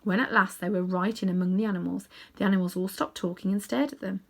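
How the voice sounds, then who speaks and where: A woman reading a children's novel aloud as an expressive storyteller. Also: mid-range voice, fast talker, gravelly. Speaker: storybook reader.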